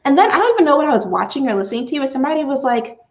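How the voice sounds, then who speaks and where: A woman in her thirties hosting a casual chat, expressive and chatty, often acting out her own thoughts. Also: sits high, very fast. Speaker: interview host, coach. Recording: muffled, echoey, noisy online audio.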